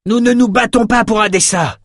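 A man's voice: mid-range voice, completely flat delivery, slow, no room sound, studio quality, lightly acted. A man voicing defiant rebel gladiators in an audiobook, declaiming battle slogans with fervour. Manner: declamatory, fervent delivery. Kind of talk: audiobook character acting.

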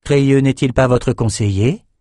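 A man's voice: mid-range voice, unhurried, mellow, dry recording, clean studio sound, pitched above the performer's own voice, natural voice. A man voicing a haughty sorceress. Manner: cool, disdainful. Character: proud, haughty female sorceress.

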